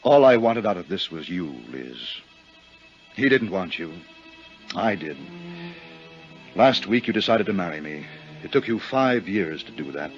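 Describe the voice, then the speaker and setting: An American actor playing a bitter, lovesick middle-aged murderer, speaking earnestly and haltingly in a 1950s radio drama. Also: male voice, sits low, very animated, soft background music. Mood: bitter confession. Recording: muffled 1950s radio recording.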